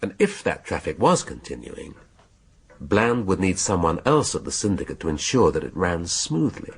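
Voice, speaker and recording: An actor playing a shrewd British police inspector in a radio drama, questioning in a measured, drily needling way. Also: male voice, low-pitched, very animated, husky, exaggerated voice. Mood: firm, explaining.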